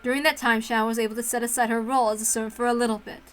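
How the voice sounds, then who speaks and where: Reserved servant girl played by a woman reader, low and soft, formal, wistful visual novel narration. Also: flat delivery, rapid-fire, clear, resonant tone, soft background music, lightly acted. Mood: wistful, restrained.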